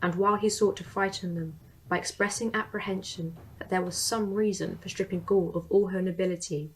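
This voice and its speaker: A young woman reads a formal historical narrative aloud. Her voice sits a little low and has a husky texture. She delivers it steadily, like a storyteller at a staged reading. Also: low-quality recording. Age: young adult. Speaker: student reader. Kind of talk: dramatic reading of a translated ancient history.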